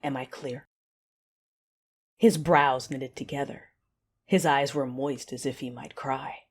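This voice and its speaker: A woman playing a betrayed, commanding dark-side warrior in an audio drama, narrating in the first person with intense, deliberate menace. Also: very deep voice, fairly even intonation, slightly rough, heavily acted. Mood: bitter, menacing.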